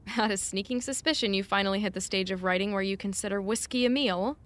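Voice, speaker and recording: An actress playing a blunt, exasperated but caring personal assistant in naturalistic audio drama, wry and no-nonsense. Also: female voice, medium pitch, quick pace, a bit bright, slight background noise, lightly acted. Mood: wry, bossy. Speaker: actor.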